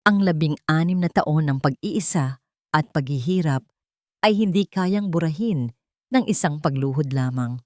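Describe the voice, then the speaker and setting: A woman's voice: low-pitched, animated, measured pace, no room sound, clean studio sound. A woman reading a scripted dramatic story as its narrator.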